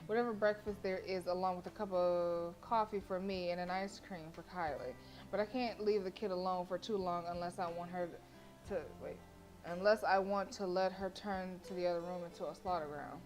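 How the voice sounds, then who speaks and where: A woman's voice: on the low side, steady tone, normal speed, a little mellow. A woman game streamer reading story text aloud expressively, with playful casual asides. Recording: faint game music behind. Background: game music.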